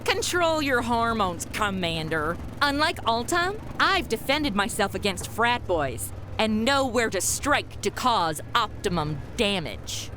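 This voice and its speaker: An actress playing a brash, sarcastic young modern influencer with exaggerated slangy delivery in a comedy radio drama. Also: female voice, fairly high pitch, very animated, slow, hardly any pauses, sharp tone, slight background noise, full character voice. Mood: flippant.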